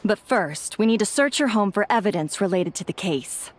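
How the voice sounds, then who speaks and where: A woman's voice: mid-range voice, lively intonation, normal speed, a little hiss or hum, close to an everyday voice. A woman playing a confident woman in a game cutscene. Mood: firm.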